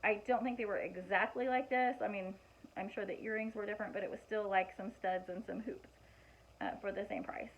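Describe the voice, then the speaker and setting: Hoarse woman chatting in a vlog. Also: mid-range voice, steady tone, brisk. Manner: chatty, rambling, many fillers and restarts. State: hoarse, losing her voice. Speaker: vlogger showing gift ideas. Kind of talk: casual show-and-tell vlog. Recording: muffled lo-fi home recording.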